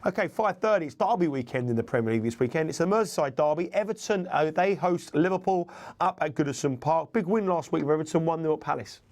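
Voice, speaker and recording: A man hosting a sports betting tips show, brisk and reeling off statistics, bunged up with a cold. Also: on the high side, a little mellow. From United Kingdom.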